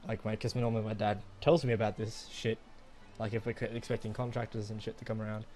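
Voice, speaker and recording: A man's voice: lowish voice, little pitch movement, fast talker, darkish tone, a little hiss or hum. A young man casually telling a rambling, profanity-laden personal story to friends. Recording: music behind the voice. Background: background music.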